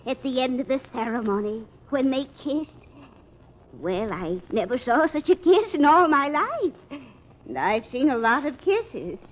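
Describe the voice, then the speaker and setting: An actress playing a sentimental, chatty minister's wife in a 1940s radio drama, effusive and dreamy. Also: female voice, high voice, very animated, unhurried, heavily acted. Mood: gushing, sentimental. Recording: dull, noisy old radio recording.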